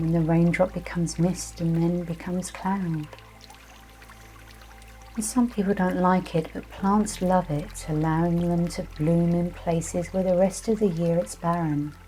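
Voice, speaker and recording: A woman leading a guided meditation and visualization in a gentle, soothing, unhurried way, with many pauses. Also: fairly low pitch. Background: soft music with trickling, dripping water.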